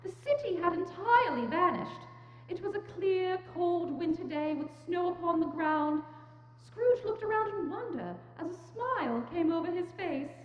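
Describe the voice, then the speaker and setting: Very high-pitched woman narrator, echoey hall. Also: expressive, normal speed, muffled recording. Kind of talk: scripted story narration, dramatic reading. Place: church, live staged reading. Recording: echoey church hall, faint noise.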